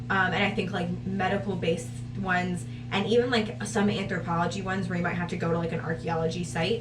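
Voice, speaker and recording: A woman in her twenties chatting casually to camera as a vlog co-host. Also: fairly low pitch. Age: 20s. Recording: roomy echo, distant mic, noisy.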